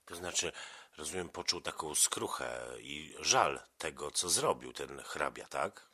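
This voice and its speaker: A man's voice: very deep voice, expressive, unhurried, bright, forceful tone, slightly rough. A man speaking casually as an interviewer, asking questions in an encouraging, affirming manner. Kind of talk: casual interview with a child about an audio story.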